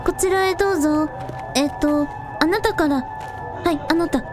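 Woman voicing young shrine helper, very high voice, earnest, practical, radio drama, music behind. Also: moderate pace, natural voice. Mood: practical, polite.

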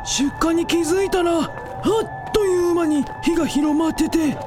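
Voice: very high voice, measured pace, over music. A performer in a radio drama voicing a worried, folksy elderly village man with fretful old-fashioned speech. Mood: weary, worried. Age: older.

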